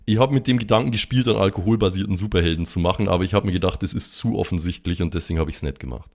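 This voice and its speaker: Lowish-voiced slangy man at play. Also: very fast, creaky. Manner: slangy, mumbly when in character. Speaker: tabletop roleplay player. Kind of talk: casual banter and improvised play.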